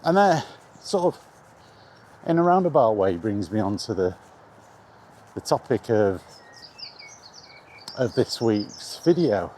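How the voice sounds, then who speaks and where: A man's voice: lowish voice, big pitch swings, slow, long pauses, mellow. A middle-aged British man chatting casually and informally about his views in a meandering, mildly sweary vlog recorded outdoors. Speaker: video maker chatting about video games. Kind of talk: casual rambling vlog chat. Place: outdoors in the rain, walking. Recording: outdoor ambient noise, faint.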